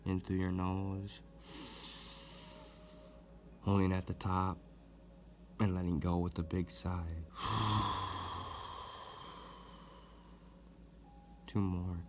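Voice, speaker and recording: Very deep, monotone man guiding meditation. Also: slight background noise. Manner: gentle, deliberate, with long pauses. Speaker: meditation guide, host. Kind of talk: guided meditation, soothing instruction.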